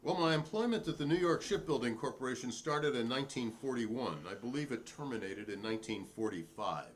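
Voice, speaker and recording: A man's voice: mid-range voice, animated, brisk, a little hiss or hum, close to an everyday voice. A man playing a defiant, proudly principled hearing witness in a dramatic transcript reading, formal and pointed in manner. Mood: matter-of-fact, proud.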